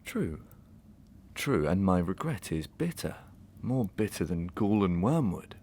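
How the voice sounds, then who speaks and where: An actor playing a guilt-racked, tormenting gentleman murderer in a Victorian audio melodrama, with theatrical, deliberate, archaic delivery. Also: deep voice, sing-song, full character voice. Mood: tormented, defiant. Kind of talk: Victorian stage melodrama acting in an audio drama.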